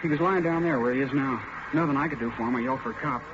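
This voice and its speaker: A man's voice: mid-range voice, racing, close to an everyday voice. A man playing a young sailor witness, earnest, respectful and slightly hesitant, in old radio drama. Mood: respectful, certain. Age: young adult. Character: earnest young male Navy sailor, eyewitness. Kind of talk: 1950s radio acting. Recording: dull, noisy vintage radio recording.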